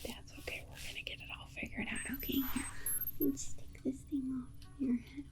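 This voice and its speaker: A woman playing a polite, condescending alien scientist in an ASMR roleplay, whispering in a soothing, coaxing manner with breathy delivery. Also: sits high, little pitch movement, a little fast, lightly acted. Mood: gently condescending. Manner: soothing, coaxing, hesitant asides. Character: alien scientist, polite, reassuring, breezily condescending toward humans.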